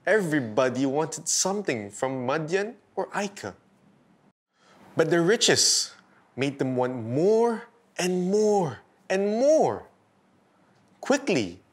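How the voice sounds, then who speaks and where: A man hosting and narrating a scripted bedtime story for children in a deliberate, expressive storytelling style. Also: a little high, slightly rough. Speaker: storyteller host.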